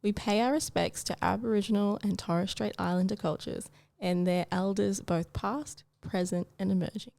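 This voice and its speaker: A woman hosts a casual interview, asking simple questions and replying with short, friendly encouragement. Her voice carries a gravelly fry. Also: mid-range voice, fairly even intonation, normal speed, few pauses, darkish tone. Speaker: interview host.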